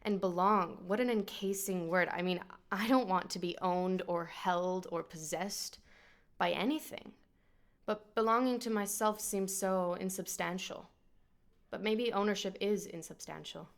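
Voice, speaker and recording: A young woman from Texas giving a reflective, searching monologue with a quietly wry edge. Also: medium pitch, unhurried. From Texas, United States. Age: young adult. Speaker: interviewee in a video installation.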